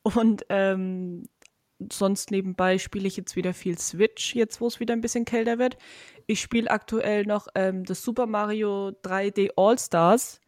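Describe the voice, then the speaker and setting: A young female co-host with a blocked nose from a cold, talking in an easygoing, chatty way at a middle pitch. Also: steady tone, a little slow, darkish tone. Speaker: podcast co-host. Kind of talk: casual chat.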